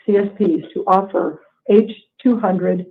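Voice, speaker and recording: Over a narrow, tinny phone line, a woman presents a corporate earnings report. She reads prepared remarks in a formal, measured way, as a company executive on a conference call. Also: mid-range voice, steady tone.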